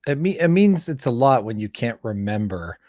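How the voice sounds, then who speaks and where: A man from Canada hosting a casual interview, speaking haltingly and repeating words as he goes. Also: medium pitch, sing-song, dark tone, no echo, studio quality. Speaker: show host and interviewer.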